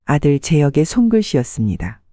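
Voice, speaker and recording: A woman in her sixties narrating an audiobook story in the first person, calm and steady. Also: low voice, a little fast, no echo, studio quality.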